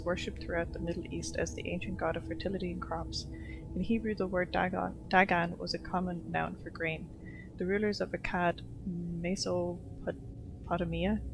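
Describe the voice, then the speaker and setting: A woman's voice: fairly low pitch, rough recording. A woman streaming a game, casually commenting and reading its text aloud. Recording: clear game music behind the voice. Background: game music.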